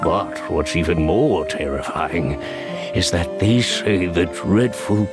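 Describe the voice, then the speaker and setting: A man playing a wary village elder in a game cartoon, gentle but firm, weary and cautioning in a husky voice. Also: low-pitched, animated, takes it slowly, loud music behind the voice. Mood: worried warning.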